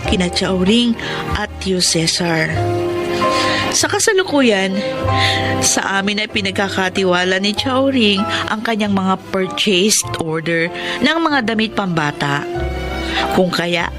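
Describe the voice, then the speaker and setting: Humble, hopeful young heroine, sincere, middle register, scored radio drama. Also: female voice, medium pitch, animated, slow, long pauses, over loud music. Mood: grateful, reflective. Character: earnest, grateful young poor woman full of hope. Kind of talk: radio drama acting and emotional first-person narration.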